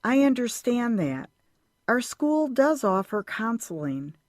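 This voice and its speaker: A woman's voice: a little high, big pitch swings, slow pace, a little mellow, no echo, studio quality. A woman playing a stern, regretful school official in an audio drama. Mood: grave, firm.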